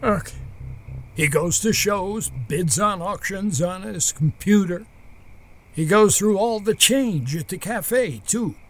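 A man's voice: sits high, animated, slow pace. An actor playing a blunt, suspicious small-town man with a needling manner, in audio drama dialogue. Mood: matter-of-fact.

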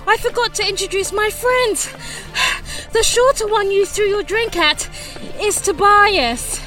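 Breezy young noblewoman, very high pitch, slow-paced, pushy politeness, fantasy audio drama. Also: bright, forceful tone, soft background music, exaggerated voice. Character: brash, breezy young noblewoman adventurer leading her friends.

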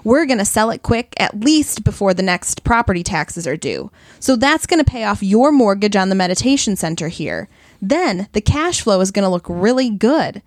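A woman's voice: mid-range voice, very animated, moderate pace, no echo, natural voice. An actress playing a shrewd, cynical woman con artist who is wry and matter-of-fact, in an audio play. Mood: wry, businesslike.

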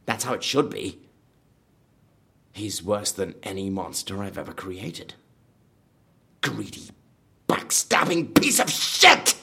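An actor in an audio drama playing a vengeful, obsessive horror theme park designer, bitter and grandiose, with a husky voice. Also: male voice, on the low side, sing-song, takes it slowly, pauses often, bright, forceful tone, exaggerated voice. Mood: outraged. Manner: brooding, bitter, grandiose, prone to outbursts.

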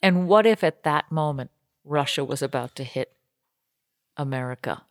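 Low-voiced joking woman podcaster. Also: expressive, normal speed, no room sound, studio quality. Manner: chatty, joking, laughs often. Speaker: podcast co-host. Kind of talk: casual chat. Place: home basement tiki lounge.

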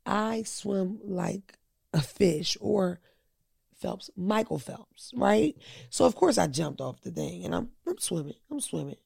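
Woman, heavy vocal fry, blunt, crude storyteller, dry close mic. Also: medium pitch, expressive, slow pace, darkish tone, no room sound. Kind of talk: casual podcast chat.

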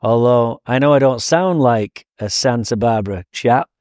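A man's voice: mid-range voice, normal speed, darkish tone, no echo, studio quality. A man from Manchester with a Mancunian English accent, talking casually as a podcast guest. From Manchester, England. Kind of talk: casual interview talk.

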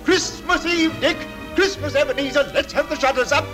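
An actor playing a hearty, jolly old employer in an old-time radio drama. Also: male voice, very high voice, normal speed. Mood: jolly, bustling. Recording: old radio broadcast over loud music.

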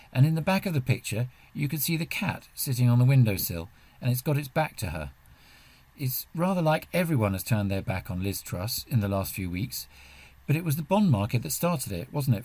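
Guy hosting a podcast, mid-pitched voice, introducing things like an editor. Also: darkish tone. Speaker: podcast host and finance editor. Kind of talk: podcast presenting.

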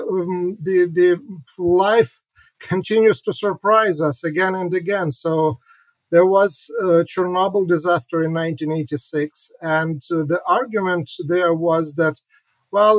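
A middle-aged man from Ukraine, speaking in a fairly high voice. He answers reflectively and haltingly, often repeating words and filling pauses with "uh". The sound is dry and close. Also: no room sound, rough recording. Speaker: historian and author, guest.

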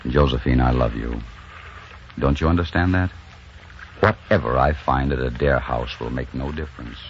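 A man's voice: extremely low pitch, normal speed, a little hiss or hum. An actor playing a tough, ardent romantic hero who narrates his adventure in dramatic 1940s radio style. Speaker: leading man and narrator. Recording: dull 1940s radio recording with music.

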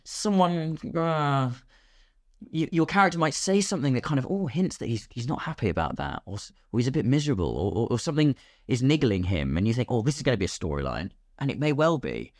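A man speaking casually as an actor guest in an interview, explaining in an animated way and voicing imagined quotes. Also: medium pitch, fast talker, dry recording, clean studio sound. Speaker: guest, radio drama actor.

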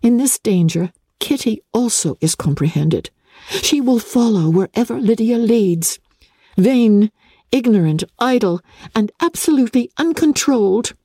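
Earnest young gentlewoman, played by a woman narrator: raised pitch, indignant, urgently emphatic, storybook drama. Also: animated, measured pace, husky, dry recording, clean studio sound, heavily acted. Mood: scornful alarm. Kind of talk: dramatic classic novel reading.

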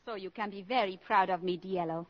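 Actress as poised scheming countess, muffled radio. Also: female voice, mid-range voice, fairly quick, close to an everyday voice. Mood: poised, pleased. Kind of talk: 1950s radio drama acting. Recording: muffled 1950s radio broadcast.

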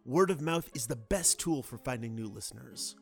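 A man reading a scripted podcast announcement as the show's producer, in an earnest, clearly phrased appeal. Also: mid-range voice, lively intonation, normal speed, faint music behind. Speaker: executive producer of the show.

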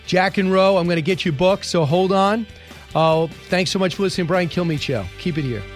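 Male talk radio host, brisk and opinionated, slightly high, faint music bed.

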